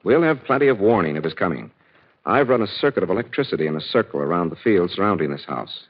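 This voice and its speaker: An actor playing a confident, authoritative gentleman detective explaining his plans in 1940s radio style. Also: low-pitched, lively intonation, fast, breathy edge, heavily acted. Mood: calm, assured. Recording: dull, noisy old radio recording.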